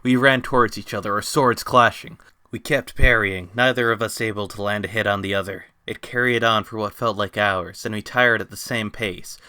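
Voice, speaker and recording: A man's voice: fairly low pitch, fairly even intonation, moderate pace, pushed and bright, smooth, no echo. A man giving a dramatic scripted narration of a fantasy story. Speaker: narrator, fan fiction reader.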